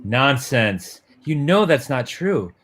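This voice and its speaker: Man playing a loyal, animated young boyfriend. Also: medium pitch, slow pace, full character voice. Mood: reassuring. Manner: coaxing, earnest. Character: loyal young boyfriend, tender, reassuring and breezily confident. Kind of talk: dramatic romance-comic reading.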